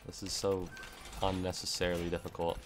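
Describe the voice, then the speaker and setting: A young man streaming a game from home, his voice hoarse and gravelly from screaming, rambling and swearing as he plays. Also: low voice, completely flat delivery, brisk, music in the background. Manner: rambling, swears when frustrated. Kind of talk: live gameplay stream chat. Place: home room.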